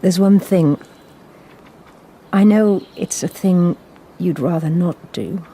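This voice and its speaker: An actress playing a proud, weary nun in charge of a mission, with a clipped, restrained manner, in a radio drama. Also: female voice, on the low side, slow pace, dark tone, slightly rough, exaggerated voice.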